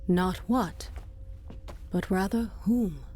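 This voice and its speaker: A woman's voice: lowish voice, takes it slowly, dark tone, slight background noise. A woman playing a regal, stern queen in a video game, with a formal, imperious manner. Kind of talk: video game dramatic acting. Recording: long hall reverb, music behind.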